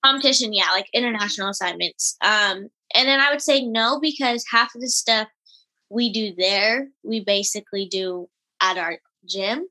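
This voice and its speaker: A woman in her twenties, an elite gymnast and interview guest, talking in a relaxed, giggly way with many fillers. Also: mid-range voice, normal speed, rough recording. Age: 20s. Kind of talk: casual interview chat.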